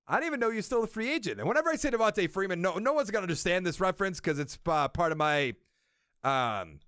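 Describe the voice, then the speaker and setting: A man's voice: high voice, sing-song, quick pace, fairly bright tone, no room sound, clean studio sound. A young man with a Boston accent hosting a casual sports podcast, rambling and thinking aloud as he corrects himself. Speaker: podcast host.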